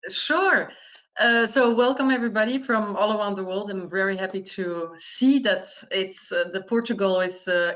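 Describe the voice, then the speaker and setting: A woman's voice: medium pitch, fairly bright tone, highs cut off. A woman, introducing herself cheerfully on an online webinar. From France. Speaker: real estate panelist.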